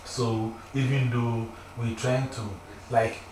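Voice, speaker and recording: A man's voice: medium pitch, little pitch movement, slow. A middle-aged man with a Ghanaian accent, a teacher chatting on a talk show and telling emphatic stories. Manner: storytelling, emphatic, acts out quoted exchanges. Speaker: teacher, radio guest. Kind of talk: casual radio chat. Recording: echoey, distant mic, noisy background.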